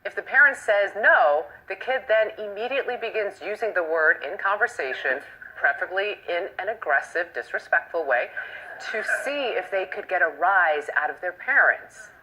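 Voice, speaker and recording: A woman in her late twenties co-hosts a talk show with a crisp, bright tone. Her pitch moves freely as she tells stories with playful animation. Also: lively intonation, moderate pace, poor audio. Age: late 20s. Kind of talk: lively panel chat and segment intros.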